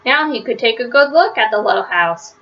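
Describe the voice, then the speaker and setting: A woman reading a children's story aloud, phrasing it simply and deliberately and stressing words for young listeners. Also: a little high, expressive, muffled recording. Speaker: storybook reader. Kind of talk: children's read-aloud narration.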